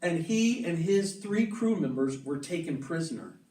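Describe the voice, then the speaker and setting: Man reading as a solemn, gentle storybook mother, echoey. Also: fairly high pitch, animated, normal speed, close to an everyday voice. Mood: earnest, sombre. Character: gentle, solemn mother explaining to her young daughters. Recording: roomy echo, distant mic, music behind.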